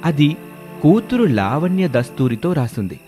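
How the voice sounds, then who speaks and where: A man's voice: on the high side, big pitch swings, a little slow, mellow, faint music behind. A man narrating a scripted moral story as a storyteller.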